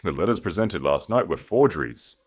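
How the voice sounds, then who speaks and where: A man's voice: low-pitched, expressive, fairly quick, slightly rough. A man voicing a proud, grave sovereign grand duke with a declamatory, regal delivery in a romance audiobook. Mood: wrathful declaration. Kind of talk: dramatic romance audiobook dialogue.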